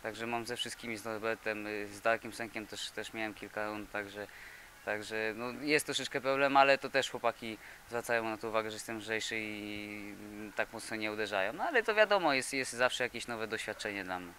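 A young man in his twenties with a bright, forward, slightly low-pitched voice, answering questions in a halting way with plenty of restarts. The sound comes from a handheld mic in a gym, with a faint hiss underneath. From Poland. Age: 20s. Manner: halting, many fillers and repeated words. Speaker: boxer. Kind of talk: interview answers. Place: indoor boxing gym. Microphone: handheld reporter mic.

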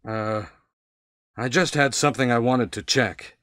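A man's voice: medium pitch, measured pace, fairly bright tone, natural voice. Actor as a protective policeman, voice echoing. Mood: steady, instructive. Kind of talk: stilted 1990s video game voice acting. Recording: reverberant, echoing hall sound.

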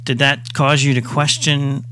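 A man's voice: medium pitch, little pitch movement, unhurried, sharp tone. A man hosting a faith radio show who interviews his guest with short reactions and leading questions.

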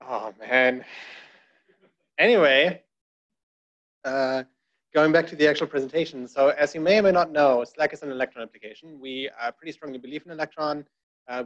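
A man speaks at a podium in a dark presentation room, talking loosely with plenty of ums and wry asides. His voice sits at an ordinary middle pitch. The recording is dull and lo-fi. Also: a little fast. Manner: conversational, many fillers, wry asides. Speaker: conference speaker. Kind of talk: meetup talk.

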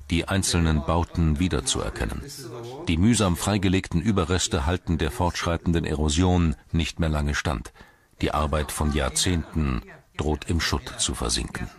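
A man reading scripted documentary narration in a measured, formal manner. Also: rumbling low.

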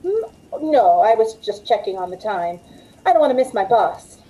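A woman's voice: medium pitch, sing-song, moderate pace, mellow, close to an everyday voice, low-quality recording. A middle-aged woman playing an anxious, hesitant waitress in a 1940s-style radio drama, earnest and pleading. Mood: polite, flustered. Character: anxious young waitress telling her frightening story. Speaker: actor in a radio play. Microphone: video call from a home room. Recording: faint noise on home video call.

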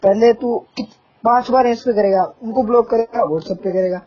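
A man's voice: extremely high pitch, moderate pace, a little hiss or hum. A young man on a casual phone call, ranting insistently with playful threats in a nagging manner. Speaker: caller, gaming fan. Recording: muffled lo-fi phone call recording.

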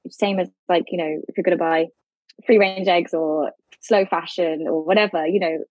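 Husky woman, thoughtful guest. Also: on the low side, steady tone, normal speed, dry recording, highs cut off. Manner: thoughtful, hedging, conversational. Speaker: podcast guest. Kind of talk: podcast discussion.

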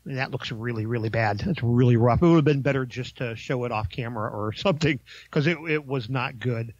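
A man's voice: mid-range voice, a little fast, no room sound, muffled recording. A man giving a casual, informal film review, talking in a hesitant, rambling way.

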